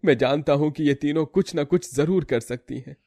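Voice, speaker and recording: Man as high-pitched, creaky earnest young son. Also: normal speed, mellow, no room sound, clean studio sound. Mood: firm, protective. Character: earnest young grown son, protective and troubled.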